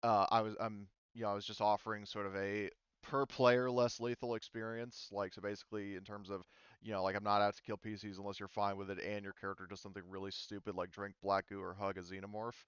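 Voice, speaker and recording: Male game master, low register, dry close sound, casual and hesitant explainer. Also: little pitch movement, fast talker, a bit bright, clear, resonant tone, no room sound, dull audio. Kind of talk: casual explanatory group discussion before a tabletop game. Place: online voice call.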